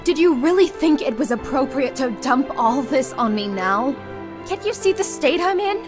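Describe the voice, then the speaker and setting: Woman acting high, swooping emotional young heroine. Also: lively intonation, moderate pace. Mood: distraught. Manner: emotional, exclamatory. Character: distraught, snappish young female heroine. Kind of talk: visual novel character acting. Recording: music bed behind the voice.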